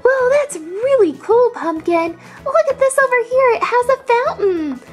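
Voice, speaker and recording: An extremely high, childlike voice for an excited little toy pet, made for kids' pretend play. The delivery is gushing and singsong, yet drawn out and unhurried. Faint music plays underneath. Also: female voice. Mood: cheerful, excited.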